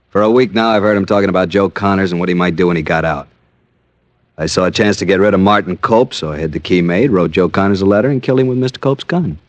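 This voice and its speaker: Male actor as coolly confessing killer, old radio noir: bass-leaning, precise, weary, muffled dry sound. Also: dry recording, natural voice. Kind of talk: 1940s-50s radio detective acting.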